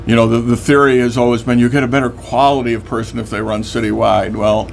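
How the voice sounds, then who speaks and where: An older man hosting a local radio talk show, a little hoarse, stammering with dry wry humor. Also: mid-range voice, steady tone, quick pace, noisy background.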